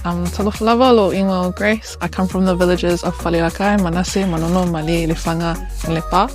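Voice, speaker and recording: A young adult woman, a commerce student, speaking in a relaxed, conversational way. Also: fairly low pitch, a little fast, soft background music.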